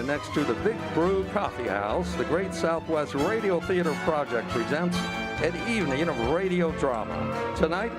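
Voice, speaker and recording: Guy doing old timey radio announcer stuff, live theater show, bit high voice, music behind him. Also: rough recording. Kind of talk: old-time radio announcing and ad reading, scripted. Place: outdoor amphitheater stage.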